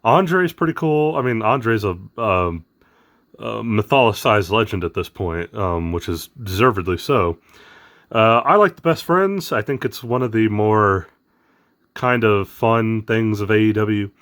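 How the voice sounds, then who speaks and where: A man with a hoarse, raspy voice from losing it, chatting casually as the host of an unboxing vlog, rambling with lots of fillers. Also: lowish voice, expressive, measured pace, a bit bright. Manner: rambling, lots of ums and uhs.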